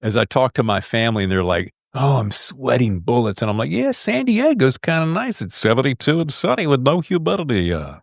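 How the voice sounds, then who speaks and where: A man from the US South hosting a podcast in casual interview chat, animated and rambling with folksy slang. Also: medium pitch, brisk, creaky, hardly any pauses, no echo, studio quality. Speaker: podcast host.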